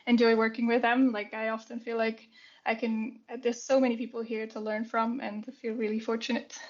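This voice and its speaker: Young Swiss woman, flat laid-back delivery. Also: fairly high pitch, brisk, dull audio. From Switzerland. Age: young adult. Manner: relaxed, laughs often, frequent ums. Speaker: podcast guest, software and product engineer. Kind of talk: casual podcast conversation.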